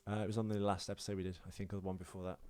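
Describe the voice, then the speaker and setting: A man's voice: sits low, flat delivery, racing, a little mellow, clean tone. Rambling British man, casual podcast chat. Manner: rambling, self-deprecating, jokey. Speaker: podcast co-host.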